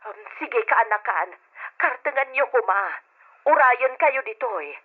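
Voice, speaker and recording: Aunt calling with bad news, tinny phone sound. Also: female voice, on the high side, animated, a little slow. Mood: urgent. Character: middle-aged aunt, urgent caller. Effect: telephone filter. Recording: tinny phone line on old radio.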